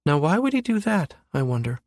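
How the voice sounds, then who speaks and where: A man's voice: on the high side, very animated, brisk, a little mellow, no echo, clean studio sound, voice pushed up. A man voicing a skeptical, wry professional woman who muses emphatically, in an audiobook. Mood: puzzled, probing. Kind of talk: audiobook character dialogue.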